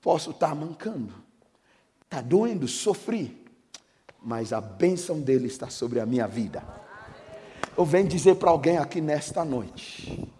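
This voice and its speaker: A middle-aged Brazilian pastor preaching with a high, bright voice. He drops to a hushed storyteller's tone, then builds to shouted declarations. A long church-hall reverb trails every phrase. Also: big pitch swings, measured pace, pauses often. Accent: Brazilian Portuguese. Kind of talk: sermon with personal testimony.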